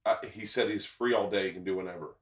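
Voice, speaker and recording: A man's voice: low voice, quick pace, husky, dry recording. A middle-aged American man bantering casually off the cuff, joking and rambling. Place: indoor lounge studio, standing. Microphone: leaning over podcast boom microphone.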